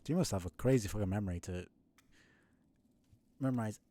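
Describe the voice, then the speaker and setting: Low, mellow-voiced male game streamer. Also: a little fast. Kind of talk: casual gameplay commentary, sweary. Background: faint game music.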